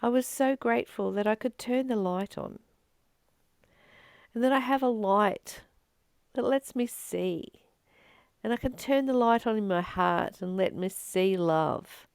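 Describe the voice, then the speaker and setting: Woman doing spiritual readings, really slow n deliberate, gravelly fry in her voice, very dry close sound. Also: mid-range voice, darkish tone, no echo. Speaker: host of a spiritual reading channel. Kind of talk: reading aloud with reflective spiritual teaching.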